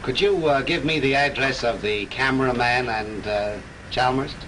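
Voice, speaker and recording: Actor as a measured police captain. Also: male voice, mid-range voice, a bit bright, lightly acted, low-quality recording. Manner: questioning, measured. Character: police captain, steady investigator. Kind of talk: 1930s film acting. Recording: hissy 1930s film soundtrack.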